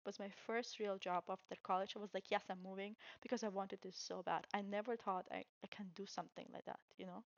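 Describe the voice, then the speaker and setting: Woman, young adult, Estonian accent, creaky, chatty personal storytelling, self-deprecating, slightly dull muffled recording. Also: medium pitch, steady tone, fast, few pauses. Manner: candid, self-deprecating, chuckles while talking. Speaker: vlogger telling her career story.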